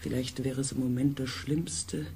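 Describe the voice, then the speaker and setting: A man's voice: mid-range voice, little pitch movement, normal speed, mellow, slight background noise, natural voice. Anxious, hesitant man, played by an actor. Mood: uneasy. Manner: hesitant, uneasy, searching for words. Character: anxious male researcher on a space expedition. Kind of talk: science fiction radio drama acting.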